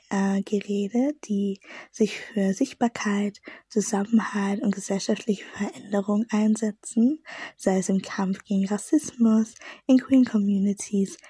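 A woman hosting a radio show, reading a soft scripted outro close to the mic. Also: medium pitch, husky, no echo.

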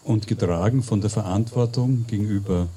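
A man reading the close of a declaration aloud, gravely. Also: fairly low pitch, brisk, dark tone.

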